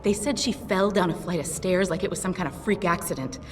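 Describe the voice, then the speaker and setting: A tough, stubborn female pilot from a video game, voiced by a woman with a lowish, clipped delivery and plenty of swearing. The voice sits back from the mic in a long reverb, with music underneath. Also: brisk, far from the mic, a little hiss or hum, lightly acted. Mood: upset. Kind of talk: video game cutscene dialogue.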